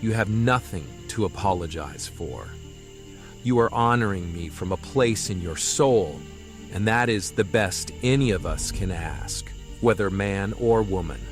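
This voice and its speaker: A man playing a gentle, wise young mystic who speaks earnestly and philosophically in an audio drama. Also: low-pitched, animated, faint music behind. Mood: tender, accepting. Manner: slow, earnest, philosophical delivery. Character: gentle, wise young male mystic consoling his despairing friend.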